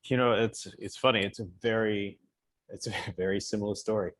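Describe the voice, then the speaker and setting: A man's voice: fairly low pitch, fairly even intonation, fairly quick. A middle-aged man from New Zealand on an online panel, telling his career story in a joking, self-deprecating way. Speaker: colorist panelist. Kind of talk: casual panel talk.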